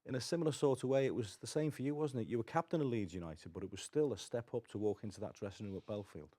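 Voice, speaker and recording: A man's voice: mid-range voice, fast, mellow. A man hosting a football club show, presenting and interviewing in a casual, conversational style.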